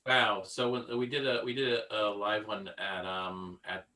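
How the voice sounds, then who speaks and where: A man, a voice actor, chatting casually in a halting, rambling way. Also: on the low side, little pitch movement, sharp tone, recorded from a distance. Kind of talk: casual chat.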